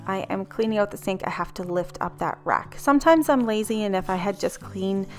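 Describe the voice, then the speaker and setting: A woman in her thirties talks through her cleaning routine in an easy, chatty voice-over, wandering into little asides as she goes. Her voice sits at a mid pitch, and soft music plays faintly underneath. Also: fairly quick. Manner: chatty, rambling, relaxed asides. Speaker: cleaning vlogger. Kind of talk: casual voice-over narration.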